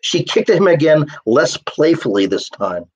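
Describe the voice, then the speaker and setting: A man with a somewhat high voice reads his own fiction live. He narrates as the author, moving between descriptive prose and lines of character dialogue. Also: big pitch swings, moderate pace, breathy edge. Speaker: author reading own story.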